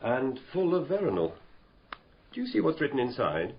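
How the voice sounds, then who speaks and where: An actor playing a brisk, opinionated doctor in a radio drama, blunt and matter-of-fact. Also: male voice, medium pitch, huge pitch swings, a little hiss or hum. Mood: dismissive. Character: brisk, opinionated male doctor, dryly dismissive. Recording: dull, muffled radio drama recording.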